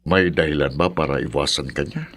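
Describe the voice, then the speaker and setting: Older father, Filipino accent, low pitch, measured, concerned, apologetic, radio drama. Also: fairly bright tone.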